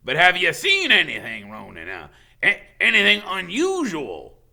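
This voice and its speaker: A man voicing a gruff, burly gamekeeper in a storybook, kindly but wary, with folksy, hesitant, ungrammatical speech. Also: sits high, huge pitch swings, moderate pace, pushed and bright, voice pushed up, full character voice. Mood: impatient.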